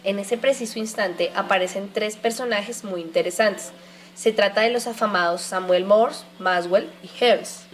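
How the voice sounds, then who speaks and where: A woman's voice: medium pitch, normal speed. A woman narrating an educational radio drama from a script.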